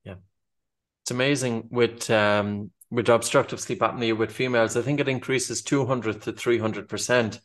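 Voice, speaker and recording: A man hosting a podcast, his voice at a middle pitch for a man. He keeps his turns short, checking details and nudging the guest on with quick questions and acknowledgements. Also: steady tone. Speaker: podcast host. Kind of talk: podcast interview.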